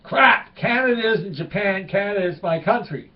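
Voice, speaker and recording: A man's voice: sits high, animated, normal speed, recorded from a distance, muffled recording, pitched above the performer's own voice. A man doing a riled-up Quebecer, broken English. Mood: exasperated. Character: excitable Quebecer man, touchy about country.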